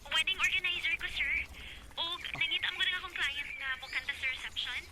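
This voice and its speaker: Very high-voiced Cebuano woman. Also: fairly quick, heavy background noise. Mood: brisk, polite. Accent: Cebuano Filipino. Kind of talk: Bisaya radio drama acting.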